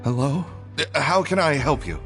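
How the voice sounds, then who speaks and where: A man's voice: on the high side, lively intonation, moderate pace, fairly bright tone, faint music behind. An actor playing an anxious, evasive male society clerk who stammers and apologizes.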